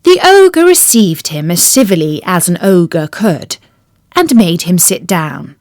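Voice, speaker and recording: A woman tells a classic fairy tale at an unhurried pace. Her pitch moves animatedly from phrase to phrase, and the recording is dry and close. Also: very animated, a little mellow, no echo. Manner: slow, clear storybook narration. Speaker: storyteller. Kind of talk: fairy-tale audiobook reading.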